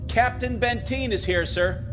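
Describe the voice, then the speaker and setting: An actor playing a deferential young military subordinate on a spaceship in a radio drama. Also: male voice, extremely high pitch, a little slow, music in the background. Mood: dutiful.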